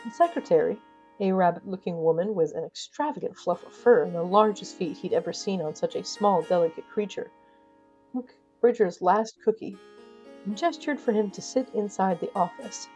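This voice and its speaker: Female narrator, wry and theatrical, mid-pitched soft-edged tone for whimsical audio fiction, clear music bed. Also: moderate pace, rough recording. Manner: wry, theatrical, addresses audience. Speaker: narrator, storyteller. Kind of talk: scripted comic audio storytelling.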